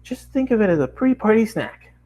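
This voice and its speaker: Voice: mid-range voice, big pitch swings, brisk, warm tone, pitched above the performer's own voice, close to an everyday voice. A narrator voicing a bubbly, hyperactive young female pony baker who gushes and chatters nonstop in a cartoon story. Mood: cheerful, gushing. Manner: gushing, squealing, breathless rambling chatter.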